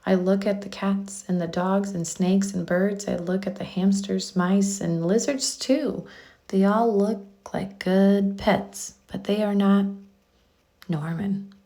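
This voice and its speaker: A woman's voice: mid-range voice, steady tone, slow pace, dark tone. A woman reading a children's story aloud expressively, drawing out key words for emphasis. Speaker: children's book reader.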